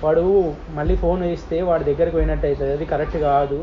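A man's voice: a little high, level tone, very fast. A young man in a private phone argument, talking in a clipped, defensive way. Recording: muffled leaked phone-call recording, faint noise.

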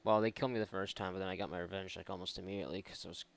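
A man with a low voice and plenty of fry hosts a casual let's play. He rambles sarcastically and curses freely over faint game ambience. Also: steady tone, rapid-fire, slight background noise. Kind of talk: casual gameplay commentary. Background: video game ambience.

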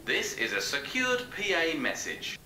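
A man reading a recorded public address announcement, played back through loudspeakers. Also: on the high side, wildly swooping pitch, a little slow. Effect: played back through speakers. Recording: echoey, noisy loudspeaker playback in room.

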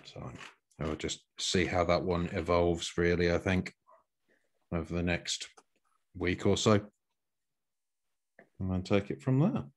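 A man chairing a work meeting on a video call, speaking with hesitant pauses. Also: low voice. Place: small home room, video call.

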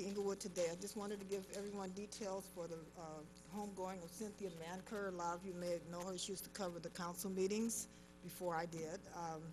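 A woman's voice: lowish voice, noisy background. A woman reporter giving a friendly public announcement at a council meeting. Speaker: local newspaper reporter. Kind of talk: public comment.

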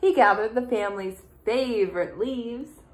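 A young adult woman, a teacher, reading a picture book aloud in a deliberate, expressive way for small children. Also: fairly high pitch. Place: small room indoors.

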